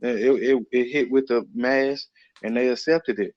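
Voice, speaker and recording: A man's voice: medium pitch, fairly even intonation, measured pace, no echo, highs cut off. A man in casual podcast conversation, speaking informally with slang and often repeating his phrases.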